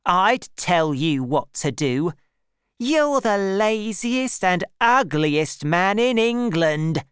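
Reader doing a bossy shouty wife, shrill and high, swoops all over. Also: very animated, slow, no room sound, clean studio sound. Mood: angry, scolding. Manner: scolding, shrill and loud. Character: shrill, bossy village wife, quick-tempered.

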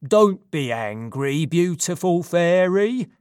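A storyteller voicing a fat, slow-witted male satyr leader from a children's myth, speaking loudly and slowly. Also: mid-range voice, big pitch swings, smooth, no echo, studio quality. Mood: coaxing.

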